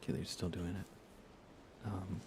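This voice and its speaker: A young man softly murmuring on an ASMR gaming livestream in an unhurried, casual way, often close to a whisper, near the mic. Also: sits low, flat delivery, noisy background. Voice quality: soft, hushed, often slipping into breathy whisper. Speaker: livestream host.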